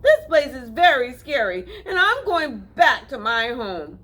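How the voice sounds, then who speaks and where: A timid little country mouse speaks in a shaky, earnest voice, slow and careful, as a woman reading a storybook puts him on. The tone is bright and clear, close to an ordinary reading voice. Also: sits high, very animated, full character voice. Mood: shaken. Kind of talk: read-aloud storybook.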